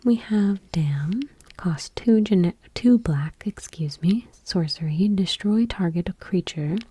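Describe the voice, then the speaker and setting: A woman softly reading aloud for ASMR in a breathy, whispery voice with a hushed, gentle delivery. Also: lowish voice, dry recording. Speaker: ASMR video host.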